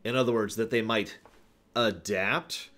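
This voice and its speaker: A man hosting a livestream, answering viewer questions in casual, off-the-cuff commentary with an animated, playful way of talking. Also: on the low side, measured pace. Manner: animated, chuckles through his points, acts out examples.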